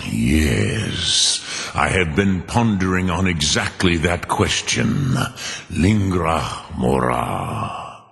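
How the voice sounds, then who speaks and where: Ancient dragon, extremely deep and slow. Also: male voice, a bit bright, heavily acted. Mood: pondering, grave. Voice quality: deep, gravelly, rumbling. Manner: ponderous, deliberate, solemn, words drawn out. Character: ancient, wise, brooding male dragon mentor. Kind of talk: fantasy video game character acting. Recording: long cavernous reverb.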